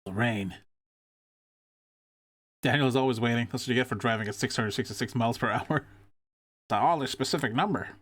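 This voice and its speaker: A man's voice: mid-range voice, fairly quick, pauses often, a bit bright, slightly rough. A man streaming a video game, giving wry, joking asides between lines of dialogue he reads aloud. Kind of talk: live gaming commentary, reading dialogue aloud in voices.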